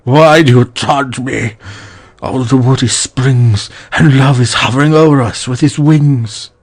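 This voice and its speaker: A man's voice: mid-range voice, measured pace, faint music behind. A man playing an earnest, lovesick lover, ardent and melodramatic, in an audiobook verse drama. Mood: hurt, pleading.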